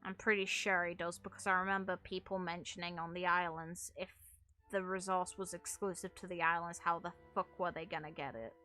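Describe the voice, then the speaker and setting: A woman's voice: fairly low pitch, fairly even intonation, a little fast, pushed and bright, faint music behind. A woman doing casual, rambling gaming commentary in a frank, casually sweary way, like a streamer talking to viewers. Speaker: game streamer.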